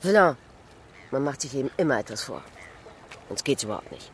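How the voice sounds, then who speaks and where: A woman's voice: very deep voice, sing-song, fairly quick, background noise. A woman playing a teasing, passionate swindler of the demimonde in a radio drama, provocative, questioning and volatile. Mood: irritated. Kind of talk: literary radio drama acting.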